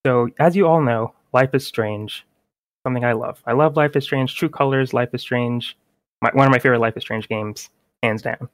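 A man's voice: mid-range voice, moderate pace, no room sound. A man co-hosting a gaming podcast, chatting casually and reading news aloud with small stumbles.

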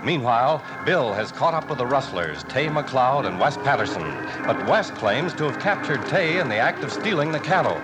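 A man's voice: medium pitch, lively intonation, normal speed, few pauses, low-quality recording. A man delivering dramatic scripted narration for a 1950s western radio serial. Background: music.

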